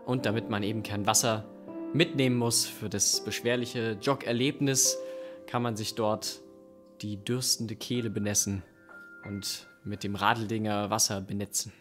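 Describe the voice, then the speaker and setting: A young man with a fairly low voice narrates a story for an improvised radio play. He speaks into a stand microphone on stage, with clear music playing behind him. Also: level tone, normal speed. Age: young adult. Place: dark curtained theatre stage. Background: live background music.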